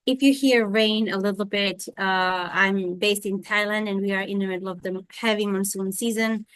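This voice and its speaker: A woman lecturer gives a scientific talk over a video call, hesitating often with fillers and restarts. Her voice sits at a middle pitch, and the sound is dry with no room echo. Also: fairly even intonation, moderate pace, fairly bright tone, clear, resonant tone. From Serbia. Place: home in Thailand during monsoon rain.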